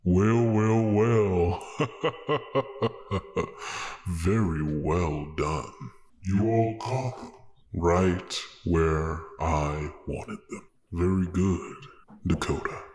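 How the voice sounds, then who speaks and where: A sinister folksy stranger , acted by a man, speaks low in a long, cavernous reverb. He taunts with smug, theatrical menace and a slow drawl, like the villain of a tabletop game. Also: darkish tone. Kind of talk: tabletop role-play villain acting. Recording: echoing hall reverb effect on voice.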